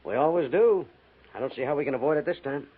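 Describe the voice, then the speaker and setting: A man's voice: fairly high pitch, expressive, quick pace, breathy edge. A man playing a worried, resigned cavalry major in a 1950s radio western, speaking with terse authority. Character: worried, resigned male army major, frontier fort commander. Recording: muffled, faintly noisy vintage radio recording.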